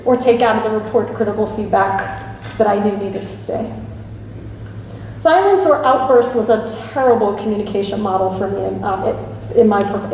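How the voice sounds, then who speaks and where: A woman from New York and Italy, a consultant giving a prepared talk in an earnest, emphatic, deliberate way. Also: fairly high pitch, a little mellow. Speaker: speaker, evaluation consultant. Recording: distant echoey hall with faint noise.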